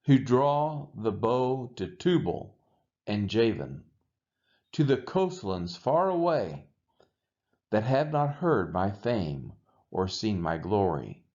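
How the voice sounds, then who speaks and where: A man reads scripture aloud solemnly and deliberately. His mid-pitched voice moves slowly with wide, lively rises and falls in a declamatory delivery. The recording sounds slightly dull and lo-fi. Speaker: scripture reader. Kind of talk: solemn Bible reading aloud.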